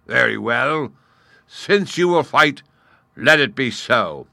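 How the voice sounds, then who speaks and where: A man's voice: mid-range voice, animated, slow pace, gravelly, bright, forceful tone, dry recording, clean studio sound, voice pushed up, exaggerated voice. Blustering, roaring storybook lion king. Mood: roaring, overconfident. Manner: roaring, bombastic, dramatic. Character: proud, blustering, furious great lion king. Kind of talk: animated children's storybook voice.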